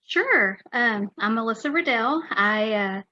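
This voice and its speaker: West texas lady author rambling along, bright sharp voice, kinda muffled lo-fi sound. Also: on the high side, expressive, unhurried. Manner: chatty, many fillers and restarts, laughs easily. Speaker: author guest. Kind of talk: casual interview chat.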